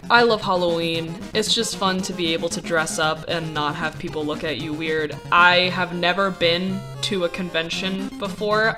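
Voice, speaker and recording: A 21-year-old woman and animation student answering fan questions in a casual Q&A vlog. She is blunt and opinionated, laughs often and swears. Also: medium pitch. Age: young adult, 21. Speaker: animation student and YouTube artist. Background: video game music.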